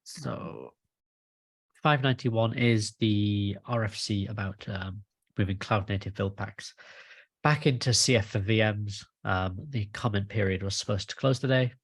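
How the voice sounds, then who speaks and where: A man with a husky, gravelly voice at a middle pitch runs a work call. He moves casually through agenda items, with a dry, close sound. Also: unhurried, no echo. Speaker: meeting chair. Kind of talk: casual meeting facilitation. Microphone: video call.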